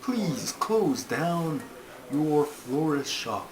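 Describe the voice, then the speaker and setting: A man voicing a puppet turtle who tells stories in an earnest, bossy, deliberate way with formal wording. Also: fairly high pitch, big pitch swings, crowd noise, exaggerated voice. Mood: earnest storytelling. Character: Terry, a slow, earnest, bossy storytelling male turtle puppet. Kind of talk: storytelling in a puppet comedy. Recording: clearly noisy homemade recording.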